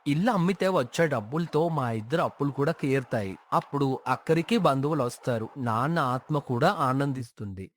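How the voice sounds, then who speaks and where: A man's voice: medium pitch, expressive, a little fast, natural voice. A man playing a callous, greedy grown son, blunt and complaining, in a dramatized moral story. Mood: dismissive.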